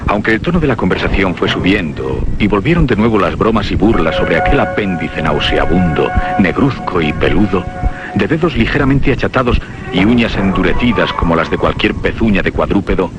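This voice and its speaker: A grave male narrator tells a radio horror story in scripted literary prose. His Castilian voice sits very low, and music plays behind him. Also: expressive, fairly quick. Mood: measured, ominous. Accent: Castilian Spanish.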